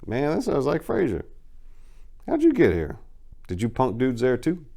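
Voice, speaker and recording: A man's voice: medium pitch, huge pitch swings, normal speed, gravelly, mellow, voice pushed up, heavily acted. Man acting as young streetwise guy, mischievous, casual, teasing, crime story character. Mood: cheeky, curious.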